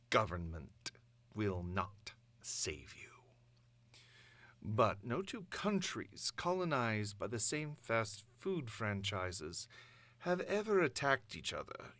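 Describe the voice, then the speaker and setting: A man performing a grandiose supervillain dictator's monologue, pompous and deliberate, addressing the world in imperious, theatrical declamation. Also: fairly low pitch, fairly bright tone, no room sound, full character voice. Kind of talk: dramatic villain monologue.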